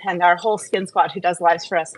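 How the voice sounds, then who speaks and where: A woman's voice: lowish voice, fairly even intonation, fast, poor audio. A woman hosting a casual live Q&A, talking in an informal, rambling, chatty way. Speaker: live-stream host.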